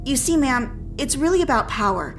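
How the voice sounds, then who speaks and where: An actress playing a careful, deferential engineering officer, giving measured technical reports in an audio drama. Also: female voice, sits high, sing-song, faint music behind. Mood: reporting. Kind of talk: full-cast audio drama acting.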